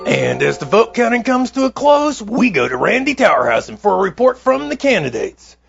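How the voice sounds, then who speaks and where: A man playing an excitable news anchor in a parody newscast, husky and creaky, with a melodramatic, mock-solemn delivery. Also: sits high, brisk, highs cut off, faint music behind, heavily acted. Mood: brisk, announcing.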